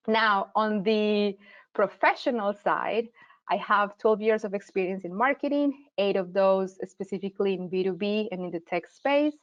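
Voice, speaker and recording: Colombian-accented woman in her 30s, friendly workshop teacher, mid-pitched voice, slightly dull web-call sound. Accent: Colombian, from Medellín. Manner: friendly, explanatory, with frequent fillers and restarts. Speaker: marketing teacher and host. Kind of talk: live online workshop presentation.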